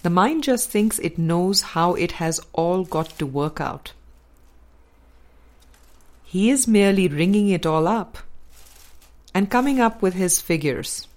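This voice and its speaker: A woman narrating a spiritual dialogue book as a scripted audiobook reading, with measured, deliberate phrasing and pauses. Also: fairly low pitch. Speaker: audiobook reader.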